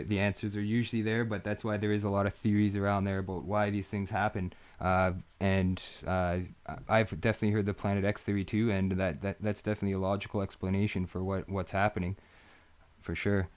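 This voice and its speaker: A man's voice: lowish voice, a little mellow. A Canadian man from Northern Ontario hosting a live interview show, agreeable and full of fillers, often saying "for sure". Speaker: host.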